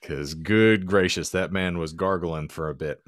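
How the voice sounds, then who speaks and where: A man's voice: low-pitched, measured pace, no room sound, clean studio sound. A man hosting a casual comedy podcast, joking in mock-grandiose, wordy phrases. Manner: jokey, mock-grandiose wordplay. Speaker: podcast host.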